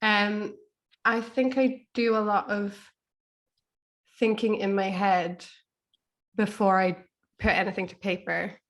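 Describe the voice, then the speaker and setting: A woman speaking casually as an interview guest, tentative and halting as she thinks aloud. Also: medium pitch, level tone. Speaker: podcast guest, author.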